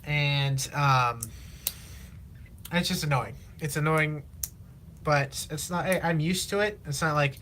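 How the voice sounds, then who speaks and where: A teenage man from Illinois, chatting casually on a live stream while he builds, rambling with lots of fillers, his voice slightly raspy from long talking. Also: on the high side, pushed and bright, slight background noise. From Illinois, USA. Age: teens. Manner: rambling, halting, many fillers and restarts. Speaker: livestreamer.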